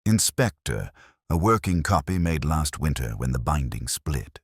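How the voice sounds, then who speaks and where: A man's voice: extremely low pitch, very animated, unhurried, breathy edge, no room sound, heavily acted. A man voicing a prim, precise woman clerk in a dry, officious manner for a mystery story.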